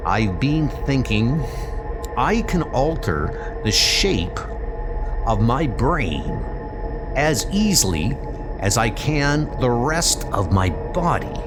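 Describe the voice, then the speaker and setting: A man voicing a grave, analytical male alien telepath superhero in a comic book dub, measured and formal. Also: mid-range voice, big pitch swings, over music. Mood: solemn, deliberate.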